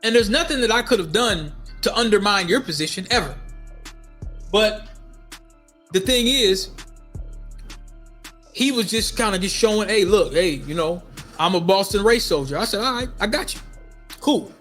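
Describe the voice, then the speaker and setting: A middle-aged man with a notably high voice tells a personal story. He talks casually and expressively, circling back on phrases to stress them. Faint music plays behind him. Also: moderate pace, pauses often. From United States, Minnesota. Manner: animated retelling with acted-out quotes, repeating phrases for emphasis. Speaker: storyteller vlogger.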